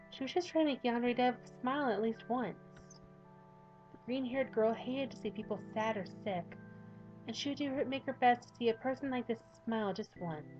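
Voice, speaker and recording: A woman's voice: on the high side, darkish tone, dull audio. A woman reading a visual novel aloud with rambling, chatty commentary from a home desk mic. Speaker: gaming youtuber.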